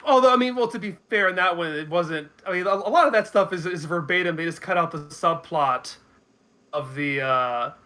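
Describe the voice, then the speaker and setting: A man in a casual discussion as a guest commentator, haltingly repeating words as he works out his thoughts. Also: high-pitched, pushed and bright. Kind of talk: casual film and game discussion.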